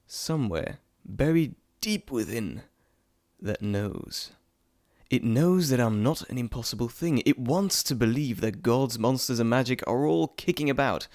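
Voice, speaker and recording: A man's voice: mid-range voice, darkish tone, no echo. A man playing a glib, dry-sounding trickster god. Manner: chatty, theatrical, teasing, self-interrupting. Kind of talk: scripted audio roleplay addressed to the listener.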